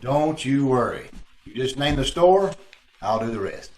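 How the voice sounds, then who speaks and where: A man playing an easygoing, obliging sleuth in an old-time radio drama, speaking in an affable, slightly halting way. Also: mid-range voice, lively intonation, a little mellow, reverberant, natural voice.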